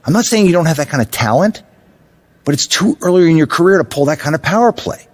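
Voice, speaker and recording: A man playing a stern, frank veteran basketball coach in a video game cutscene, blunt and firm but supportive in a measured delivery. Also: fairly high pitch, animated. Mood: firm, urging.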